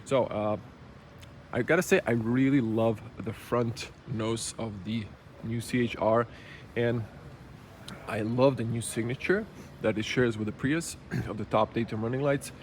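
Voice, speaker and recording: A man with a slightly rough early-morning voice, giving a casual, unscripted walkaround review in halting, item-by-item phrases. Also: mid-range voice, fairly even intonation, slight background noise. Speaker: car reviewer. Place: car show exhibition space.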